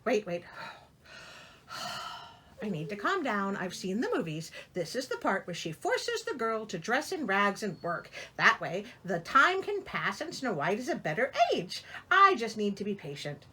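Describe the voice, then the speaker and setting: Female storyteller, bright and slightly raspy, theatrical fairy-tale read with sarcastic, incredulous commentary. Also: medium pitch, huge pitch swings, a little slow. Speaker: storyteller and host. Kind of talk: expressive fairy-tale reading with comic sarcastic asides.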